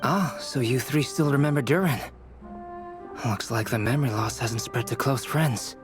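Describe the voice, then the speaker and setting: An actor playing a calm, scholarly young male alchemist in a game, explaining in a measured, analytical way. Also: mid-range voice, lightly acted. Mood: wry. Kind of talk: game cutscene voice acting. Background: background music.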